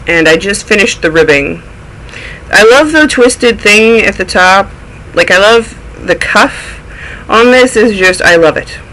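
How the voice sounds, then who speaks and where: A man's voice: high voice, lively intonation, a little slow, pushed and bright, slight background noise, rough recording. A man with a cold, sounding snuffly, hosting a casual knitting video podcast and chatting his way through projects with plenty of tangents. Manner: rambling, goes off on tangents. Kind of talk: casual chatty show-and-tell.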